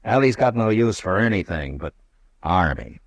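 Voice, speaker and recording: An actor playing a calm, firm frontier marshal in a 1950s radio drama. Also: male voice, no echo. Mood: steady. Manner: measured, firm, low-key.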